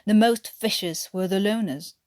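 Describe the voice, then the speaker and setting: A woman's voice: medium pitch, normal speed, a little mellow, no room sound, studio quality. A French woman reading a story aloud as an amateur narrator, with a French accent reaching for an English one. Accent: French, attempting English. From France. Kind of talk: expressive scripted story narration.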